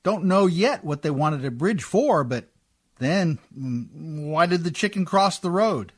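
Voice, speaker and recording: A man acting in a radio play as a rough, good-natured, hard-drinking Canadian riverboat skipper with a folksy, deferential, ungrammatical drawl. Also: a little high, expressive, unhurried. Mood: wistful, joking.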